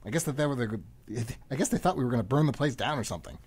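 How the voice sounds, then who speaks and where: A man acting in a comic radio play as a frantic, rambling middle-aged man under arrest, excitable and often interrupting himself. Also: medium pitch, very fast, breathy edge. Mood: defensive, confessional.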